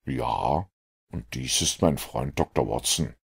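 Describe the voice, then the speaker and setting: A man voicing a cool, shrewd gentleman detective in an audiobook, with a measured, dry, authoritative manner. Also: a bass voice, lively intonation, gravelly, slightly rough, pitched below the performer's own voice, exaggerated voice. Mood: cool, courteous.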